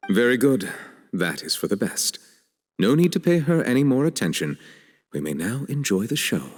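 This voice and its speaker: A man's voice: mid-range voice, very animated, normal speed. An actor playing a stately, courteous male chief justice who speaks formally and measuredly. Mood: dry. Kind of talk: video game cutscene dub. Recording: long reverb.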